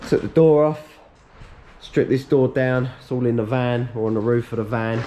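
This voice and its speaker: A man in his thirties, slightly hoarse, chatting casually to a head-mounted camera while he strips out his workshop. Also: medium pitch, moderate pace, warm tone, lo-fi sound, slight background noise. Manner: chatty, upbeat, matter-of-fact while working. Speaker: workshop owner vlogging. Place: large workshop being stripped out, indoors. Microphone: head-mounted action camera.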